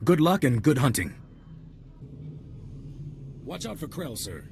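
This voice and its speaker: An actor playing a dutiful military corporal who gives a formal, clipped briefing and ends nearly every point with "sir". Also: male voice, medium pitch, very animated, takes it slowly, gravelly, a little hiss or hum, exaggerated voice. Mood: earnest. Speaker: briefing officer. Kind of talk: video game cutscene voice acting.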